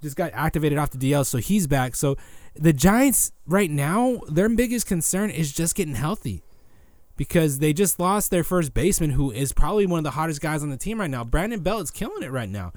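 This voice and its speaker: A man's voice: a little high, lively intonation, a little fast, darkish tone, no room sound. A young man co-hosting a podcast, exasperated and trying to steer the chat back to baseball. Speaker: podcast co-host. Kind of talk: casual sports chat.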